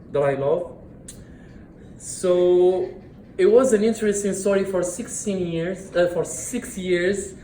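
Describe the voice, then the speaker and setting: A man's voice: sits high, a little mellow, a little hiss or hum. A man, delivering a prepared speech at a club meeting in an earnest way and often restarting his words. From Morocco. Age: 30s. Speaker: club speaker. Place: meeting room. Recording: echoey room.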